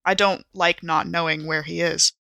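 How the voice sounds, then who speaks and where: Tabletop player, female, bright and crisp-edged, mid-low pitch, formal but hesitant improvised roleplay. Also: fairly even intonation, normal speed, no room sound, clean studio sound.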